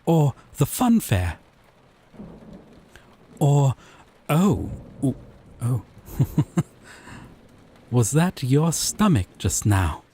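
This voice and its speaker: A man playing a gentle, obsessive, possessive lover in an intimate romantic roleplay, speaking haltingly and tenderly. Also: medium pitch, very animated, exaggerated voice. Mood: eager, affectionate. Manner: slow, halting, tender, breathy pauses. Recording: rain and thunder ambience behind. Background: rain, thunderstorm.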